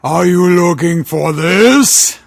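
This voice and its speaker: Man doing a gravelly, menacing undead giant wrestler. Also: high voice, slow, no room sound, studio quality, full character voice. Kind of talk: mock-dramatic fan fiction reading.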